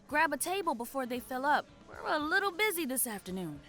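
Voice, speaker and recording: A woman playing a brisk, friendly mother who works a café counter in an audio drama. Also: high-pitched, big pitch swings, pushed and bright, soft background music, heavily acted. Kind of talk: naturalistic audio drama dialogue.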